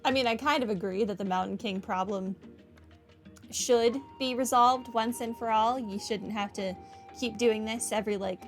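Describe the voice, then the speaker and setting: A woman's voice: on the high side, normal speed. A woman giving casual, wry commentary on a video game playthrough, thinking aloud as she goes. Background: game music.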